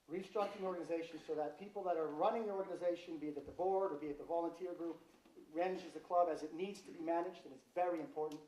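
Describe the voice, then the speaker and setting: A man's voice: a little high, fairly even intonation, racing, mellow, slight background noise. An American man giving a seminar presentation to coaches, speaking directly and posing rhetorical questions. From United States. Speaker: football development presenter. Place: large indoor seminar hall. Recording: roomy hall, distant microphone.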